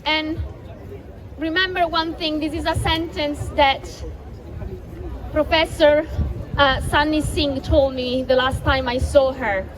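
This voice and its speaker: Woman, high voice, rousing protest speech, repeats lines, handheld mic, outdoor square, crowd murmur. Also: steady tone, slow, long pauses, low-quality recording. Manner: impassioned, rousing, repeats phrases. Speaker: rally speaker. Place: outdoor city square rally. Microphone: handheld mic through PA. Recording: outdoor PA with crowd and street noise.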